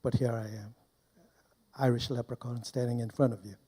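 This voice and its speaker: An older man from Burma giving informal personal testimony to a live audience as a guest speaker, deliberately and in short, broken phrases. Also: medium pitch, steady tone, mellow, husky. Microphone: handheld microphone held close.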